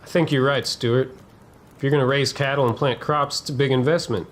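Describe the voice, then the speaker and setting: A man playing a seasoned, unsympathetic trooper who follows orders, in a western dramatization. He speaks at a middling pitch with folksy, dismissive phrasing. Faint noise sits behind the voice. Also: fairly even intonation, fairly quick, close to an everyday voice. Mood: wry. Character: obedient, unsympathetic veteran cavalry private. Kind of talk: historical dramatization dialogue.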